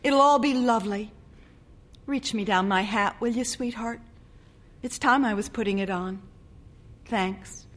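A woman voicing a young newlywed wife who chatters in a quick, breathless, pleading way during a short story reading. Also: a little high, fairly bright tone, pitched above the performer's own voice. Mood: fretful.

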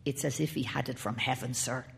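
A woman's voice: steady tone, brisk, gravelly, fairly bright tone, husky, exaggerated voice. A woman voicing a solemn, devoted male servant who speaks earnestly. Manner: low, earnest, reverent.

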